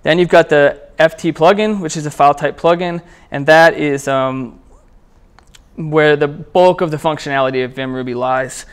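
A man gives an informal technical talk at a meetup. His voice is clean and resonant and sits a little high for a man. He speaks conversationally, with halting phrasing as he explains what he is demonstrating. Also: smooth. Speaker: presenter at a programming meetup. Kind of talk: casual technical talk with live demo. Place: indoor meetup room, speaking before a small group.

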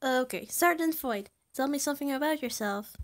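A woman's voice: sits high, lively intonation, moderate pace. Woman playing a cocky, teasing, drawling guy. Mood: awkward, bemused. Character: cocky teasing adult man, crude, calls friend old sport. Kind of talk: amateur comic dub.

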